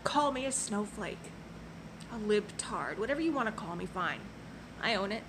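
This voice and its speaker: A woman telling a personal story in an earnest, impassioned way, swinging from soft to loud. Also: mid-range voice, expressive, moderate pace, background noise. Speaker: passport office clerk. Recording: loud music under the voice.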